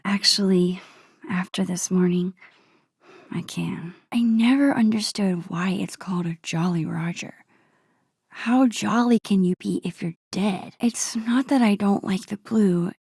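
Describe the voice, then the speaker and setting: A woman playing a shy, wistful teenage girl in a video game, musing to herself in a soft, whispery voice. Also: mid-range voice, fairly even intonation, unhurried, vocal fry, breathy edge, no room sound, clean studio sound. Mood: amused, musing. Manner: gentle, musing, talking to herself. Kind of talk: introspective game character monologue.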